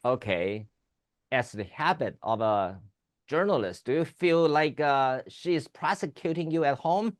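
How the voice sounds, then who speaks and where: A middle-aged or older Taiwanese man hosts an interview in accented English. His first language is Chinese, and he speaks politely and a little haltingly, mixing formal questions with light banter. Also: mid-range voice, animated. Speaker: talk show host and interviewer.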